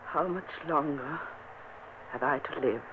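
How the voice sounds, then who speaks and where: A woman's voice: rumbling low, a little slow, background noise. Proud dying noblewoman, weak and pleading, old radio drama, thin tinny sound. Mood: frail. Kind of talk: vintage radio drama acting.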